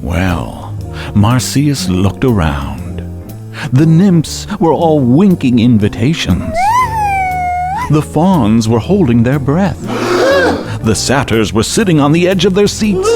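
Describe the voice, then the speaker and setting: A man narrating a radio drama as a theatrical storyteller, with measured, dramatic phrasing. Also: mid-range voice, very animated, over loud music.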